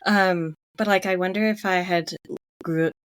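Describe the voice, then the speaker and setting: A woman's voice: fairly low pitch, steady tone, unhurried, dry recording. A young woman from Connecticut co-hosting a book club podcast, chatting casually with lots of "like" fillers and easy laughter. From Connecticut, USA. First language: English is her third language. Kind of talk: casual chat.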